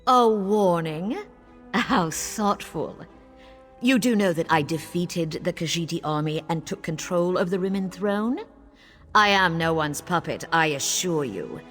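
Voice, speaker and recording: A woman's voice: lowish voice, expressive, a little slow, fairly bright tone, faint music behind. A woman playing a cruel, mocking usurper queen in a fantasy video game, imperious and drawling with sarcasm. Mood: amused, menacing.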